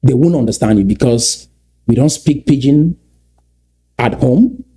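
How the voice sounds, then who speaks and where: A man's voice: medium pitch, lively intonation, unhurried, mellow. A middle-aged man with a Cameroonian English accent, giving commentary on clips and lecturing with rhetorical questions.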